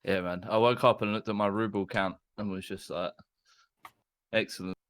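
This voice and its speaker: A man's voice: fairly low pitch, steady tone, normal speed, a bit bright, slight background noise. A man from London, a podcast guest, who talks tersely with dry self-mockery. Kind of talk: casual podcast chat.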